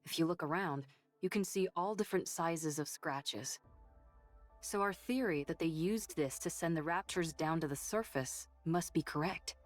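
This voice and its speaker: Actress as low-voiced young woman soldier over music. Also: normal speed, close to an everyday voice. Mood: focused, confident. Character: capable young woman soldier and technician, anxious, protective. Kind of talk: anime game voice acting. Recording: game music behind.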